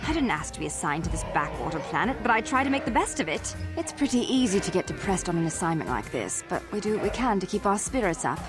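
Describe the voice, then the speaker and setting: Casting: friendly off-duty young officer for a game, slightly low, casual, music underneath. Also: female voice, big pitch swings, fast talker, few pauses. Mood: upbeat, chatty. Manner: chatty, open, casual. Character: friendly, lonely young female junior military officer. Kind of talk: video game dialogue acting.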